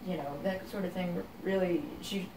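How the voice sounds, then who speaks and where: A woman's voice: fairly low pitch, fairly even intonation, normal speed, noisy background. A young woman from Texas with a Texan accent, a singer-songwriter talking reflectively in a casual interview and filling her speech with "you know.". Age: young adult. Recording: roomy, from a distance.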